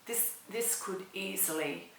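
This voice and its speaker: A woman's voice: medium pitch, expressive, slow pace, fairly bright tone, heavy reverb, low-quality recording. A woman from Sydney, earnestly recounting a frightening personal experience. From Sydney, Australia. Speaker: storyteller. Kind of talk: personal story told to camera.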